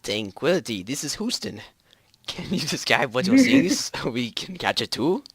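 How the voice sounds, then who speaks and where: A man playing a brisk, anxious mission control communicator, calling out clipped radio phrases and urgent questions in an amateur story reading. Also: mid-range voice, huge pitch swings, fairly bright tone, slightly rough, no room sound, heavily acted.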